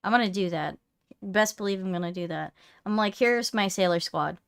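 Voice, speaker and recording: A woman's voice: mid-range voice, moderate pace, clean tone. A woman streamer in casual, playful banter with a friend on a livestream.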